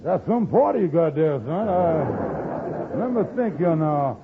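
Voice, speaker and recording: An actor playing a blustering, folksy know-it-all schemer in an old radio comedy. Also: male voice, a little high, very animated, slow pace, noisy background, heavily acted. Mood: pompous, pondering. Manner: folksy, pompous, expansive. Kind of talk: 1950s radio comedy acting. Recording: tinny old radio recording.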